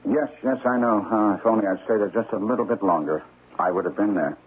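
An actor playing a deceitful, self-important stockbroker with debonair airs in a radio drama. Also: male voice, lowish voice, fast talker, husky. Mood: feigned regret. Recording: tinny, noisy old radio recording.

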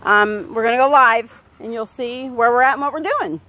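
A woman hosting a pet vlog, chatting casually and playfully to animals and viewers, with sing-song exclamations and drawn-out words. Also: a little high, steady tone, sharp tone. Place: outdoors in a backyard by a pool.

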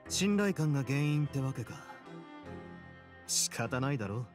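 A male actor playing a composed, confident prison boss in a Japanese game, speaking in a measured, dryly courteous way. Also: medium pitch, expressive, close to an everyday voice. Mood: composed, sincere. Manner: measured, cool, casually self-assured. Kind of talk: Japanese anime game voice acting. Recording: studio voice over game music.

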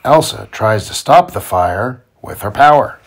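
A man reading a children's storybook aloud to his child in simple, deliberate phrasing. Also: fairly low pitch, animated, gravelly. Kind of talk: children's read-aloud narration.

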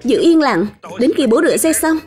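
High-pitched strict cartoon dad, voiced by a woman. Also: expressive, normal speed, a little mellow. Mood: stern. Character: strict but caring father of a young boy. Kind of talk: dubbed children's cartoon acting. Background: background music.

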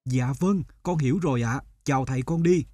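An actor voicing an eager, wide-eyed young student in an animated fairy tale, full of admiring exclamations. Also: male voice, medium pitch, brisk, dry recording, close to an everyday voice. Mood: wonder.

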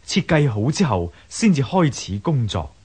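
A man acting a humble, earnest woodcarver of ancient China in a radio drama, husky, measured and deferential, with a Hong Kong Cantonese accent. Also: medium pitch, very animated, darkish tone, heavily acted.

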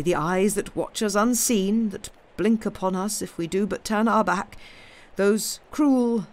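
A woman voicing a kindly, haunted old gentleman in a storybook, genteel and wistful, halting as he broods. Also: medium pitch, voice pushed up.

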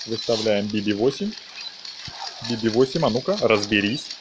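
A man's voice: fairly low pitch, animated, normal speed, background noise. A man giving casual, playful let's play commentary, talking along with the action of a video game. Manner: playful, talks along with the action, uses diminutives. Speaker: gameplay commentator.

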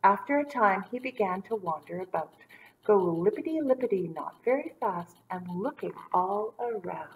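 A woman in her thirties reading a children's story aloud with dramatic emphasis and drawn-out words. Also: mid-range voice, expressive, slight background noise, rough recording. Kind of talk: expressive storybook read-aloud for young children. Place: small indoor room set up as a classroom.

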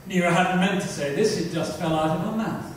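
A man's voice: high voice, brisk. A middle-aged man narrating a dramatic reading of a book. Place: club lounge on stage-like set, indoors. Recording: echoey room, distant mic, noisy.

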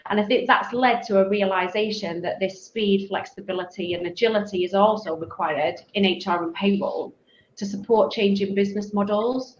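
A woman's voice: medium pitch, moderate pace. A middle-aged woman with a Manchester accent, speaking as a webinar panel guest and laying out her points thoughtfully. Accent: Mancunian. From Manchester, England. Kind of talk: webinar discussion. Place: office, video call. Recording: roomy, dull video-call audio with faint noise.

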